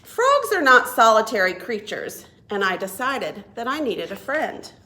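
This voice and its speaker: Slow, highly animated woman storytime reader. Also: slight background noise. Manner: expressive, deliberate, asks listeners questions. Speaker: children's librarian and storytime reader. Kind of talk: reading a picture book aloud to young children.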